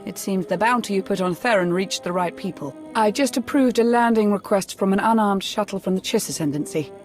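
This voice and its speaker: An actress playing a loyal, businesslike military adviser in a video game. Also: female voice, mid-range voice, a little fast, close to an everyday voice. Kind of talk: cinematic video game dialogue. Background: music.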